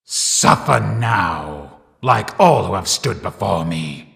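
A man voicing a menacing, sadistic undead animatronic rabbit killer in a video game, with a growling, gravelly voice and drawn-out delivery. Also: sits low, sing-song, a bit bright, exaggerated voice. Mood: threatening. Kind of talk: video game villain voice lines. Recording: long cavernous reverb.

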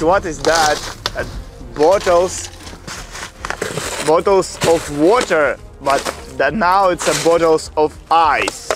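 Excitable young man with a Slavic accent. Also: fairly high pitch. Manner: excitable, narrates each find out loud, non-native grammar. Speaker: adventure vlogger. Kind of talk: exploring vlog narration. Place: inside a snow-filled boat cabin, outdoors in winter.

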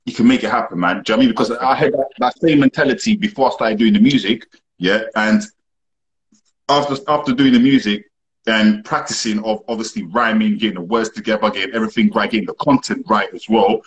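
A man's voice: on the low side, fairly even intonation, quick pace, lo-fi sound. A young man whose first language is Portuguese, chatting casually on a live video call from a room, often saying "obviously" and "you know what I mean". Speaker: guest rapper. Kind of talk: casual chat on a live stream. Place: indoor room. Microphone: phone at arm's length, video call.

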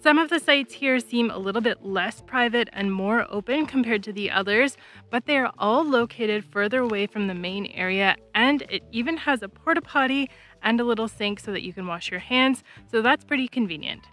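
A woman's voice: a little high, moderate pace, a bit bright. A woman, giving casual travel vlog narration. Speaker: travel vlogger.

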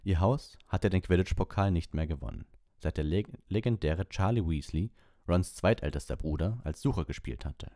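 A man's voice: deep voice, moderate pace, dark tone, dry recording, studio quality. A man reading an audiobook as narrator, in scripted storybook narration.

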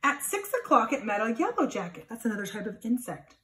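A woman's voice: a little high, very animated, a little fast, sharp tone. A woman in her thirties reading a storybook expressively to children, adding simple explanations. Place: small plain indoor room. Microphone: phone or camera a few feet away. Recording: echoey room, distant phone mic.

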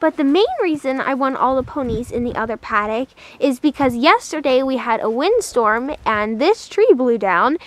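A woman's voice: high-pitched, lively intonation, moderate pace, hardly any pauses, no room sound. A woman narrating a casual horse vlog in a chatty way, speaking fondly of her animals. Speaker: horse vlogger.

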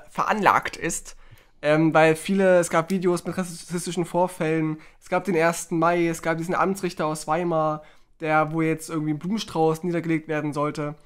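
A man's voice: on the high side, fairly bright tone, clean tone. A man co-hosting a podcast in casual talk, chatty and rambling with fillers. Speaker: podcast co-host.

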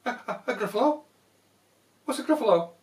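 Man putting on a high-pitched sly storybook fox. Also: expressive, brisk, a little mellow, echoey room, far from the mic. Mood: alarmed. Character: sly hungry storybook fox, easily spooked.

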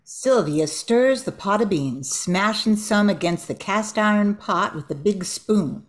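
A woman's voice: medium pitch, animated, measured pace. An older woman reading scripted narration for an amateur radio play.

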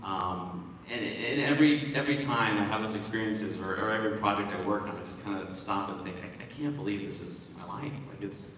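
A man answering as a voice actor on a stage panel, speaking earnestly and reflectively in a halting, casual way. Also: lowish voice, a bit bright. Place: large convention hall stage, audience recording from afar. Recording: very echoey hall from far off, faint noise.

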